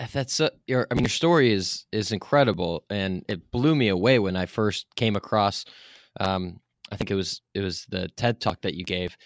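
Man, podcast host, slightly low voice, casual, halting phrasing, dry recording. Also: no room sound. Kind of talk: casual conversational interview.

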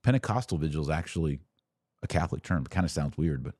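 Deep-voiced man, emphatic religious podcast host. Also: animated, fast, darkish tone, husky, no room sound, studio quality. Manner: emphatic, conversational, acts out imagined dialogue. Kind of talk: informal religious commentary, addressing listeners.

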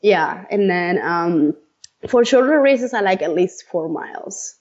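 A woman's voice: lowish voice, animated, unhurried, darkish tone, lo-fi sound. A woman in her thirties, born in Ethiopia, chatting casually as an interview guest with frequent laughs and many fillers. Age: 30s. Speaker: guest, runner.

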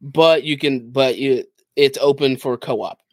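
A man's voice: mid-range voice, unhurried, darkish tone, dry recording. Man explaining games, close dry sound. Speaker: podcast co-host. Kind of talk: casual gaming podcast chat.

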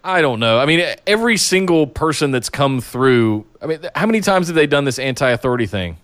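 Smooth-voiced man giving opinions. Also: mid-range voice, lively intonation, fairly quick, a bit bright. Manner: opinionated, rambling, frequent restarts. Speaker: podcast co-host. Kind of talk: casual wrestling podcast chat.

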